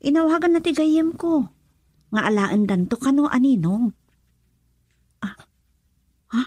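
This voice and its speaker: An actress with an Ilocano accent playing an excitable, prying woman in a radio love drama. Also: high voice, unhurried, no room sound. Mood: gossiping.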